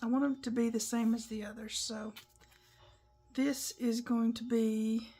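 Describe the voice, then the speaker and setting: A woman hosting a papercraft tutorial, talking through each step in a chatty, instructive way while a little croaky. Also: on the high side, level tone, unhurried, dark tone, soft background music. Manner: chatty, instructive, talks while working with hands. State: croaky, clearing her throat. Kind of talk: casual step-by-step craft demonstration.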